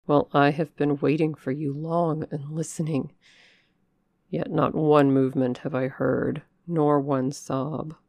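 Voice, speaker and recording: A stern, passionate gentleman who broods and reproaches, read by a woman. The voice sits low with a gravelly edge and moves at an unhurried, heavy pace. It is recorded close and dry, with no room sound. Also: flat delivery, dry recording, heavily acted. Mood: brooding.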